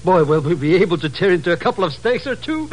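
Comic sidekick for old radio: boyish, slangy, very high and wildly swooping. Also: male voice, sing-song, fast. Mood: eager, jolly. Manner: slangy, gushing. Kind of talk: 1950s radio drama acting. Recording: noisy old radio recording.

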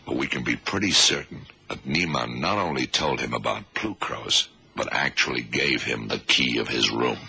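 An actor playing a shrewd detective in a radio drama, deliberate and authoritative as he lays out a case. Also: sharp tone, full character voice. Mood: grave, explaining. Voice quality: very deep, gravelly, husky. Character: shrewd male American blind detective explaining a case. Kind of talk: 1970s radio drama acting.

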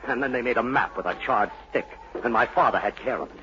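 An actor playing the haunted, brooding son of a mad sea captain in a dramatic, insinuating 1940s radio style. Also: male voice, medium pitch, quick pace, bright, forceful tone, natural voice. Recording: muffled, hissy 1940s radio broadcast.

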